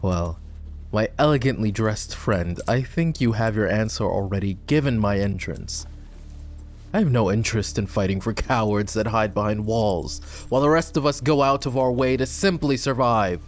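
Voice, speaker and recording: A man playing a proud, bitter lowborn knight and war hero in a dramatic fantasy roleplay audio, with a sardonic, scornful manner. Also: moderate pace, faint music behind, lightly acted. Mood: sardonic, impatient. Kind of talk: fantasy roleplay ASMR voice acting.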